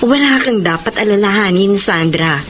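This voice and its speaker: An actress in a Filipino radio drama playing a kind birthing-clinic midwife who speaks in a comforting way. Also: female voice, mid-range voice, animated, normal speed, a little hiss or hum, natural voice. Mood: reassuring. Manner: gentle, comforting. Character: kind, reassuring woman running a birthing clinic. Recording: music under the voice.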